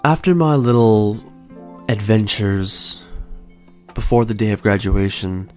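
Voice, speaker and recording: A young man in his twenties hosting a podcast, telling his own story in a soft, slow, soothing way. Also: lowish voice. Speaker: podcast host. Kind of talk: calm reflective storytelling. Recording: faint lo-fi music bed. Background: soft lo-fi music.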